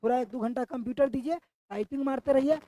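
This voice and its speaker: A man's voice: extremely high pitch, quick pace, dark tone, no echo. Man teaching with a sore throat. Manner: repeats questions back to the class, quizzing style. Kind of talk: online exam-prep class.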